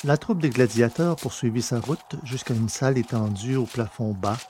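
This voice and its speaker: A man with a slightly husky voice reading scripted fiction as an audiobook narrator. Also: medium pitch, normal speed, warm tone, slight background noise.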